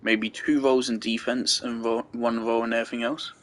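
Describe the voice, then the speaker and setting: A man's voice: medium pitch, little pitch movement, normal speed. A man giving casual gameplay commentary, rambling and thinking aloud as he goes. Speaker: game streamer.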